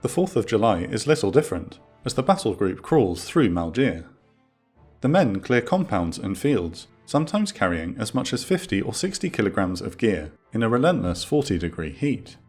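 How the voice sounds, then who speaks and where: A man narrating a scripted military documentary in a measured, dramatic storytelling manner. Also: on the low side, sing-song, darkish tone, husky. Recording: music under the voice. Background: background music.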